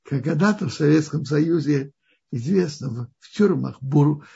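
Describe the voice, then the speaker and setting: A man with a very husky, gravelly voice, pitched a little high, teaches the weekly Torah portion. He talks haltingly, thinking aloud and restarting phrases. The recording sounds dull and lo-fi. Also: dark tone.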